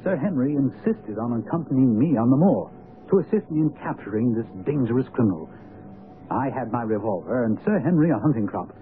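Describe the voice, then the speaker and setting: An actor playing an earnest, loyal doctor and companion to a detective, narrating formally in a radio drama. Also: male voice, medium pitch, expressive, lightly acted. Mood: grave, measured. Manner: measured, formal storytelling. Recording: dull, noisy old radio broadcast.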